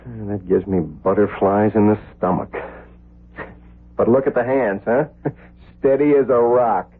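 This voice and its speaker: An actor playing an eager, cocky young surgical resident who turns hesitant with superiors, in a 1970s radio drama. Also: male voice, fairly low pitch, a little slow, slight background noise, lightly acted. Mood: cocky, chatty. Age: young adult. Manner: hesitant, stammering, deferential. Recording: lo-fi narrowband old radio recording.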